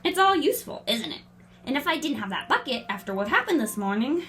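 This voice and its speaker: A woman playing a brisk, confident, quirky girl in a family audio drama, breezy and matter-of-fact. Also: high-pitched, sing-song, fairly bright tone, soft background music. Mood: puzzled, matter-of-fact.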